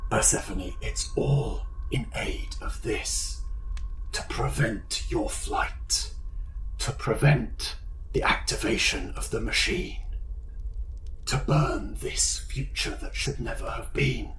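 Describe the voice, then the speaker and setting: Ancient demon, whispered and unvoiced, slow and coaxing; a male game master doing the voice. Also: medium pitch, a bit bright, echoey room, a little hiss or hum, low-quality recording. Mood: menacing whisper. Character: whispering ancient flaming demon speaking inside a mind.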